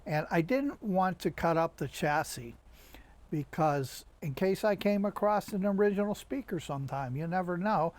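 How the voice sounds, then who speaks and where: Male hobbyist presenter, slightly high-pitched voice, halting and conversational, casual unscripted project walkthrough. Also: expressive, a little mellow. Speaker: hobbyist radio restorer and presenter. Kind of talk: casual how-to video narration.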